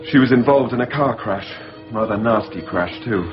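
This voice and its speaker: A man playing a measured, understated mental-health worker briefing a colleague in a naturalistic radio drama. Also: mid-range voice, over music, lightly acted. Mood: explanatory. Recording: dull lo-fi radio recording.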